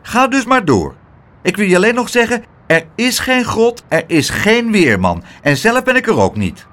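A tiny, fretful gatekeeper from a children's storybook, acted out by a male reader. The voice is pitched far up and jumps about in agitation. He snaps and contradicts, full of suspicion. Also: sing-song, normal speed, fairly bright tone. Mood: contrary. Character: tiny nervous grumpy cave gatekeeper man, contrary and suspicious.

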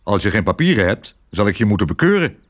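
A man's voice: fairly low pitch, sing-song, quick pace. A man voicing a big male police dog in a children's story, bossy and officious but kind underneath. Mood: stern, threatening.

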